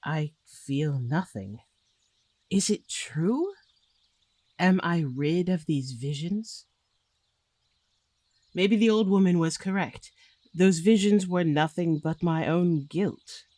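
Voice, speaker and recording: A woman's voice: lowish voice, lively intonation, slow pace, long pauses, warm tone, dry recording. A woman playing a weary, troubled noblewoman in a fantasy audio drama, hesitant and formal in speech. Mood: doubtful. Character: weary, troubled noblewoman recovering from haunting visions.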